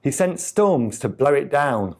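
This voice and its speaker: A man reading a children's tale aloud as a storyteller, with deliberate, expressive delivery. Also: medium pitch. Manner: deliberate, expressive reading with acted sound effects.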